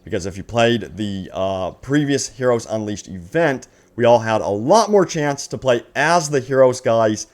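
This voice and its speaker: A man's voice: mid-range voice, expressive, measured pace, few pauses. A man hosting a gaming news video in an upbeat, enthusiastic fan commentary style, stretching words for emphasis and addressing his viewers directly.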